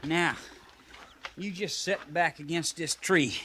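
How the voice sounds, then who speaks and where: An actor playing an eager, naive young army orderly in an old radio western, with a rural American drawl and a deferential, folksy manner. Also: male voice, on the high side, takes it slowly. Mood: soothing, earnest. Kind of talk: 1950s radio western acting. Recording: noisy 1950s radio recording.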